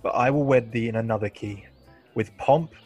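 A man's voice: mid-range voice, moderate pace, darkish tone, faint music behind, lightly acted. A man acting a noble, commanding duke in a Shakespearean radio play, stately and declamatory. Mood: commanding, festive.

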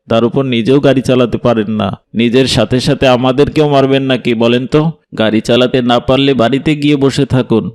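A man's voice: medium pitch, darkish tone, clean tone, close to an everyday voice. A man playing an indignant minibus passenger in a cartoon, scolding sharply with a Bangladeshi accent. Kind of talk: cartoon voice acting.

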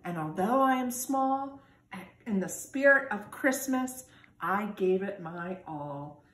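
A woman's voice: medium pitch, lively intonation, slow pace, fairly bright tone. A woman voicing a small, eager, cheerful storybook cat in an exclaiming, singsong way. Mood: proud, determined. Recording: echoey room with noise.

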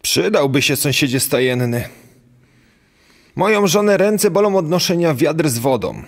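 A man's voice: a little high, sing-song, a little slow, full character voice. A man playing a crafty, commanding innkeeper in an audio story. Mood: scheming. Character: crafty, bossy male innkeeper.

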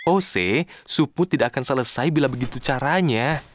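A man voicing a patient, sensible, caring helper in a children's cartoon, gently admonishing and expressive. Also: medium pitch, normal speed, natural voice. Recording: studio voice over cartoon music.

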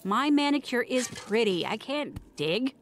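Female actor as breezy, rambling castaway woman for comedy podcast drama; higher register, very animated delivery. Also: measured pace, a little hiss or hum. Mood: breezy, defensive. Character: fussy, chatty woman stranded in another world. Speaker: podcast narrator character. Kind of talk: comedic audio drama acting.

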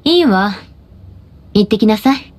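A woman playing a poised, easygoing shop boss in an anime-style game, with a relaxed, elegant manner. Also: medium pitch, measured pace, close to an everyday voice. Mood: indulgent, teasing. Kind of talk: Japanese anime game acting.

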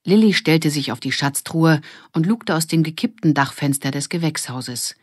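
Woman reading a story, evenly pitched, dry sound. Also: animated, moderate pace, breathy edge, dry recording. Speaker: audiobook narrator. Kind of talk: scripted narration of a children's story.

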